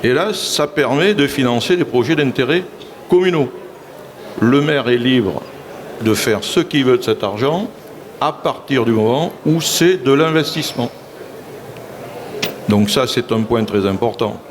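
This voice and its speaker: A man's voice: medium pitch, unhurried, long pauses, a little hiss or hum. A man, a local elected official, speaking assertively in a public panel talk and often saying "si vous voulez".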